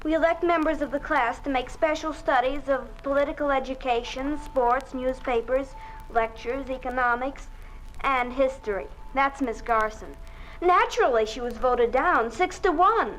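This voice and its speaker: A cold, zealous schoolgirl of twelve in a 1950s radio drama. Her voice sits high, and she speaks in a prim, self-righteous way, coolly accusing the adults. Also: normal speed, fairly bright tone, poor audio.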